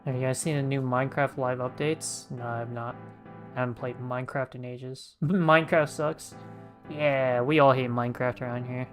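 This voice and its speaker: A man's voice: medium pitch, steady tone, normal speed. A man livestreaming a game with casual, joking commentary, reacting off the cuff. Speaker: streamer. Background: game music.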